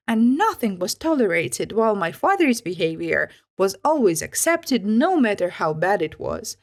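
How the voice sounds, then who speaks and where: A woman reading first-person online stories aloud in a calm bedtime style, with expressive rises and falls. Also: fairly high pitch.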